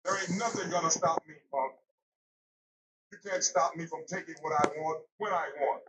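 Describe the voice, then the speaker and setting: A man's voice: fairly high pitch, moderate pace, recorded from a distance, close to an everyday voice. A man playing a cocky, aggressive pro wrestler, throwing out boastful, menacing one-line taunts for a video game. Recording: muffled, echoey, noisy game audio rip.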